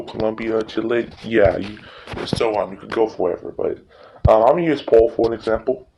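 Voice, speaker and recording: Low-voiced man, noisy tutorial recording. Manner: informal, halting, repeats himself. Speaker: tutorial host. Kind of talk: casual screen-recording tutorial.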